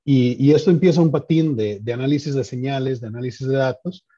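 A young Costa Rican man speaks as a podcast guest, his voice soft-edged and husky. He explains technical material in a halting way, thinking aloud. The audio is a little dull and lo-fi. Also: mid-range voice. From San Carlos, Costa Rica. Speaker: podcast guest, doctoral student in engineering. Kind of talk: explanatory interview talk.

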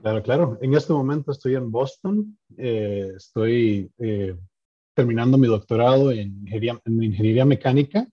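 A young man with a Costa Rican accent, explaining his work as an interview guest in a halting, think-aloud way. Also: mid-range voice, husky, muffled recording. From San Carlos, Costa Rica. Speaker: podcast guest, doctoral student in engineering.

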